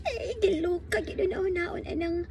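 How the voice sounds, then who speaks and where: Woman playing a very high-pitched, coy Cebuano sweetheart. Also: moderate pace, loud music behind the voice. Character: playful, flirtatious woman talking with her lover. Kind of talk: radio drama acting. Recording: noisy radio drama track.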